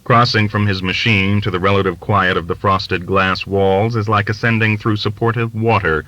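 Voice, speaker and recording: Male narrator, literary audiobook reading, expressive, deliberate, bright forceful tone, close dry recording. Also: fairly low pitch, no room sound, muffled recording.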